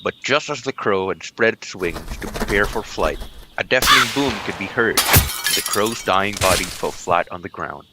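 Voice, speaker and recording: A man's voice: deep voice, animated, moderate pace, very noisy. A man narrating a scripted storybook tale as the storyteller. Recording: loud music behind the voice.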